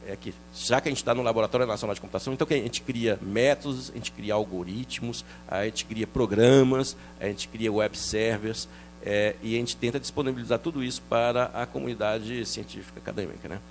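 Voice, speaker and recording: Fast-talking middle-aged male scientist. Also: medium pitch, slight background noise. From France. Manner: fast, animated, with many restarts and fillers. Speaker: researcher guest. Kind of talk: TV interview, explaining science.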